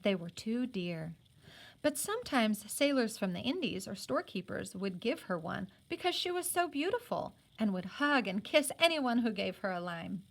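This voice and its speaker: A woman reading a children's novel aloud as a teacher would, in an expressive storybook style. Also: mid-range voice, moderate pace, gravelly. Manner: acts out the characters' speech.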